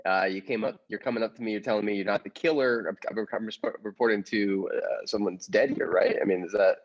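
A man with a husky, fairly low voice improvises a comedy scene. He stammers and halts as he finds his lines, in slightly dull audio. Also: fairly even intonation. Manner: halting, stammering.

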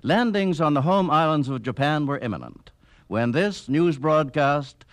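A man's voice: a little high, expressive, a little slow. An older American man reading scripted documentary narration as host of a radio history program.

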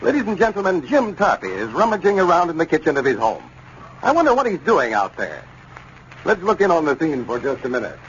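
A man's voice: fairly high pitch, wildly swooping pitch, fairly quick. A man with an American accent announcing and reading scripted advertising in 1930s radio style. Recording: muffled, hissy 1930s radio recording.

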